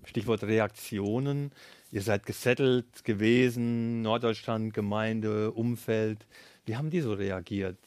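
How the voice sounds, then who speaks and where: Low-voiced man hosting a studio interview. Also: fairly even intonation, a little slow, darkish tone, dry recording. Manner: frequent murmured backchannel. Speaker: livestream host.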